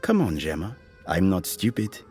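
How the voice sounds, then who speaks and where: An actor playing a suspicious, accusing company assassin who interrogates a coworker in a comedic audio drama. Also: male voice, deep voice, sing-song, moderate pace, a little mellow, faint music behind. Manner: accusing, insistent.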